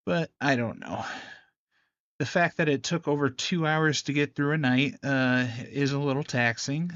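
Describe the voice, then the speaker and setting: A man's voice: mid-range voice, measured pace, a bit bright, no room sound, muffled recording. A man giving casual, unscripted game commentary as a host, thinking aloud in a hesitant, noncommittal way. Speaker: gaming channel host doing a visual novel readthrough.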